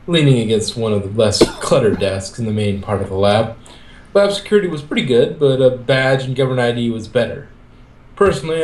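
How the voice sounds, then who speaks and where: A man reads a story aloud with lively, swinging pitch. He acts out the dialogue in a casual amateur style, and the room around him is echoey. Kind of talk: reading fan fiction aloud, expressive.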